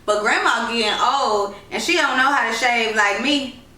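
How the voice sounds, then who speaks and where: A woman's voice: fairly high pitch, normal speed, bright, forceful tone, distant mic. A woman voicing a stubborn, spirited little girl with a rural Southern accent in a storybook reading, insistent and defiant. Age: child. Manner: defiant, insistent, dialect-heavy. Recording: roomy echo, noisy, off-mic home recording.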